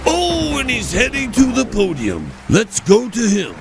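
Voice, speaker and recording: An actor playing a dramatic, excited male contest announcer building suspense in a comedy. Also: sits high, a little slow, vocal fry, music in the background, exaggerated voice. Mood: suspenseful. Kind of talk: excited event commentary.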